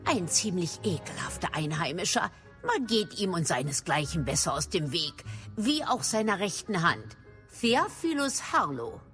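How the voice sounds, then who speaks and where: An actress playing a shrewd middle-aged female professor in a German audio drama, speaking formally and crisply with a mildly probing manner. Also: lowish voice, big pitch swings, a little slow, a bit bright, faint music behind. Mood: brisk, instructive.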